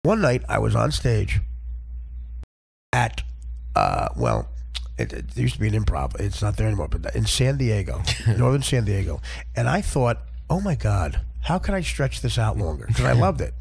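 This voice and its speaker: A male comic with a gravelly, husky voice rambles through crude stories in a casual way. His delivery is animated and profane, with pitch that moves around a lot. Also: moderate pace. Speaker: stand-up comedian. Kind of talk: casual, profane comedy storytelling.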